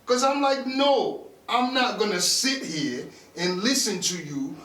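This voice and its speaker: A man's voice: high-pitched, lively intonation, a little slow, a bit bright. A man from Nigeria who grew up there, talking bluntly and with plenty of swearing in a casual podcast chat. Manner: blunt, profane, emphatic. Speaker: podcast guest. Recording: echoey room, distant mic.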